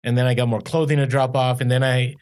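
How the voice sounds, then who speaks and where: A man co-hosting a podcast in easygoing casual chat, animated and quick to laugh, with frequent "like" fillers. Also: mid-range voice. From California. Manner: animated, laughs easily, lots of "like". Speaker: podcast co-host.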